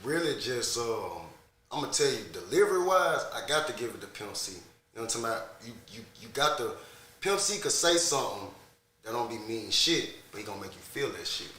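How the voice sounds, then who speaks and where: Young dude, rapper, talks quick and loose, keeps saying you know, echoey room. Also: male voice, medium pitch, very animated, sharp tone, a little hiss or hum. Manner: laid-back, frequent "you know what I'm talking about". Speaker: rapper guest. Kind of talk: casual interview talk.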